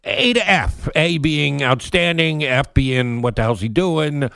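A man's voice: a little high, a little slow, gravelly, fairly bright tone, dry recording. A man hosting a sports radio interview, putting questions conversationally in casual, punchy short phrases.

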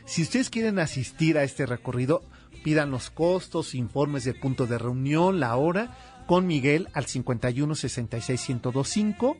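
A man's voice: a little high, animated, normal speed, darkish tone, faint music behind. Mexican man hosting casual radio chat. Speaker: radio show host.

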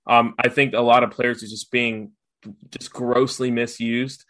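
A man's voice: mid-range voice, level tone, a little slow, a bit bright. A young man, a guest on a sports podcast, chatting casually with many fillers and restarts. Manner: filler-heavy, lots of "like".

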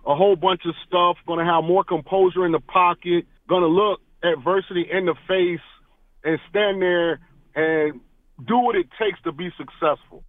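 A man's voice: high voice, steady tone, moderate pace, no echo, narrow, tinny audio. A man giving sports commentary over thin, band-limited radio audio.

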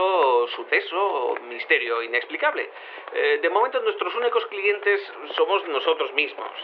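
An actor playing an earnest, excitable male lawyer turned amateur detective, explaining hesitantly in an audio-drama voicemail. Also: a little high, narrow, tinny audio. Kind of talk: voicemail announcement in an audio fiction. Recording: noisy, thin phone voicemail.

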